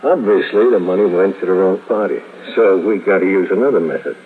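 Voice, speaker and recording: Low-voiced, coolly menacing radio gangster. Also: male voice, brisk, husky. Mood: calm threat. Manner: calm, slangy, coolly threatening. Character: cool, menacing male gangster enforcer, matter-of-fact. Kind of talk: 1950s radio drama acting. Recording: muffled, noisy 1950s radio recording.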